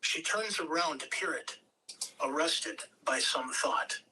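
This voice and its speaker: A person reading stage directions in scripted narration at a table read, with measured delivery. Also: mid-range voice, bright, forceful tone. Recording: distant mic, faint background noise.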